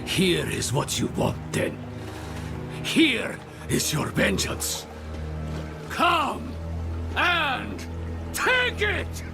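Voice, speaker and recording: A male actor voicing a defiant, tormented man in a dramatic video game cutscene, theatrical and drawn-out. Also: sits high, huge pitch swings, vocal fry, loud music behind the voice, heavily acted. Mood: bitter, taunting.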